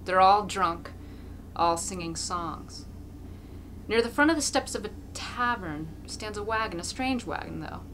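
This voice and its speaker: A woman narrates a literary story with amateur theatrical flair. Her voice is bright and forceful at a medium pitch, and there is faint noise in the recording. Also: animated, a little slow. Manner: dramatic, hammy. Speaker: amateur reader.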